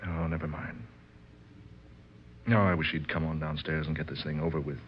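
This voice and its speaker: Deep-voiced sardonic husband. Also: male voice, little pitch movement, normal speed. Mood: bitter, bored. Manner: curt, dry, formal. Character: bored, sceptical, sardonic husband of a medium. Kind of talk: old-time radio drama acting. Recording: muffled, noisy old radio recording.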